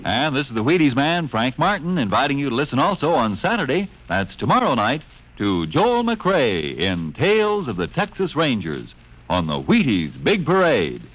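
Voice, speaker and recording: Swooping, folksy male radio pitchman. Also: wildly swooping pitch, normal speed, fairly bright tone, low-quality recording. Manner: folksy, chummy sales patter. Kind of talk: 1950s folksy radio advertising.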